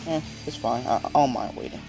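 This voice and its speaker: A man reading the lines of a calm, confident young male ninja in his own raspy voice. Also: mid-range voice, fairly quick, over music, voice pushed up, close to an everyday voice. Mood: matter-of-fact.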